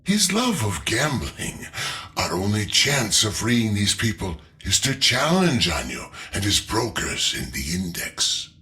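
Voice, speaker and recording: A principled game leader with a lowish, bright voice. He briefs his allies formally and persuasively, with lively shifts of pitch. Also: a little slow, heavily acted. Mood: earnest, urgent. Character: earnest, principled male leader rallying allies. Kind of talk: video game dialogue, mission briefing.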